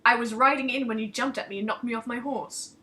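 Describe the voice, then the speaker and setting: A woman voicing a surly male warrior in a story, curt, gruff and accusing. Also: on the high side, quick pace, pushed and bright, recorded from a distance. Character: surly blond warrior man, grudging and accusing.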